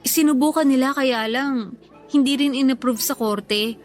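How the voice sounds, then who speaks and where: A coy young woman in love, a little defensive. Her voice is high, and music plays softly behind the radio drama dialogue. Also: a little slow. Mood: defensive, sheepish.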